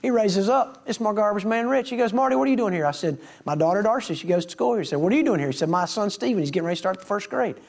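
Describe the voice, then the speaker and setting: A man's voice: sits high, lively intonation, very fast, vocal fry, few pauses, a little mellow. A middle-aged man, a preacher telling folksy stories to his congregation and acting out the dialogue. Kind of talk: storytelling sermon to a congregation.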